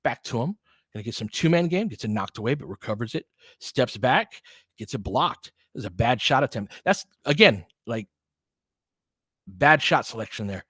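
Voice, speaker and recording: A man's voice: mid-range voice, lively intonation, moderate pace, a bit bright, husky, no room sound. Casual man calling basketball, close dry sound. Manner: informal, candid, opinionated. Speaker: play-by-play host of a fan stream. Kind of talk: casual basketball play-by-play and commentary.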